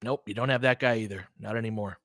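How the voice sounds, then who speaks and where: Casting: American male sports streamer, bright forceful voice, close dry sound, informal play-by-play mixed with chat. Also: on the low side, steady tone, fast talker, no room sound. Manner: conversational, reacting to chat comments. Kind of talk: casual live sports commentary and chat.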